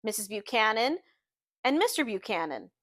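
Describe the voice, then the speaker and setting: A woman's voice: on the high side, sing-song, moderate pace, fairly bright tone, dry recording, studio quality. A woman voicing a polite, showy male party host in an audiobook, formal and eager to impress.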